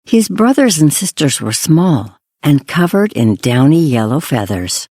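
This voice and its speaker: Casting: female children's narrator, scripted fairy-tale reading, mellow tone, lively intonation. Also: low-pitched, measured pace, dry recording, clean studio sound.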